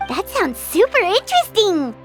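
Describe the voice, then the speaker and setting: A woman voicing a tiny, excitable, childlike fairy companion in a video game, speaking in exclamations. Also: extremely high pitch, moderate pace, exaggerated voice. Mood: curious. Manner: exclaiming, refers to self in third person. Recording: loud music under the voice.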